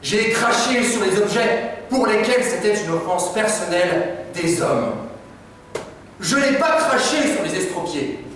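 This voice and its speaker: A man's voice: high-pitched, animated, measured pace, a bit bright, slight background noise. A young man, a drama student, reciting an insistent, repetitive confession on stage. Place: theatre stage. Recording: echoey hall, distant mic.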